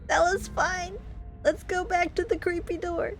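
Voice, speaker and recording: A woman's voice: very high voice, steady tone, unhurried. A woman giving casual, chatty commentary as she plays a visual novel, thinking aloud as she goes. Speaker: gameplay streamer reading a visual novel aloud. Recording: music behind the voice. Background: game music.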